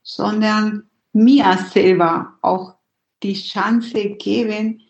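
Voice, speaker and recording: A woman's voice: mid-range voice, fairly even intonation, drawn-out words, gravelly, reverberant, muffled recording. A middle-aged woman whose first language is Spanish, speaking German in a thoughtful, hesitant interview with many fillers. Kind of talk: reflective personal interview.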